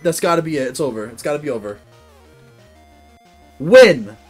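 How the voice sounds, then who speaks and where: A young man hosting a video game playthrough, cheering loudly as he narrates his progress from his desk. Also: a little high, normal speed, soft background music. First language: English. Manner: excitable, cheering loudly at victories. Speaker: gaming video host. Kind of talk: let's-play commentary. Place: indoor room at a desk.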